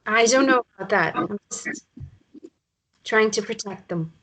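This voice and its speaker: A woman's voice: mid-range voice, measured pace, bright, forceful tone. A woman playing a caring, wry mother, faintly noisy recording. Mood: quiet, grateful. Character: caring, wry mother of young boys in romance drama. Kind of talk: table read of a romance drama. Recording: clear background noise, occasional clicks.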